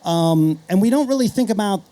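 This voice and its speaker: Middle-aged man, fairly high pitch, very mellow tone, earnest explanatory panel talk. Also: animated, a little fast. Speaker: marketer and podcast creator, panel guest.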